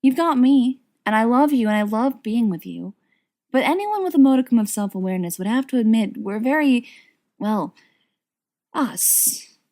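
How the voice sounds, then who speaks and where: Gentle young woman, played by a female reader, higher-pitched, teasing, hesitant, audiobook dialogue. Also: animated, moderate pace. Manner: gentle, trailing off lamely.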